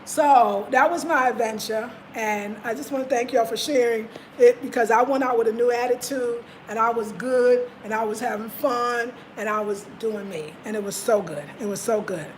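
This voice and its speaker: A woman's voice: fairly high pitch, normal speed, hardly any pauses, a little hiss or hum, rough recording. An American woman hosting a live stream, chatting animatedly in slang, telling stories and reading out viewer comments. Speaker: host.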